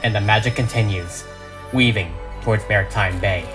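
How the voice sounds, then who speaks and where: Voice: deep voice, normal speed, music in the background. A narrator reading a script aloud in a dramatic, emphatic, theatrical style.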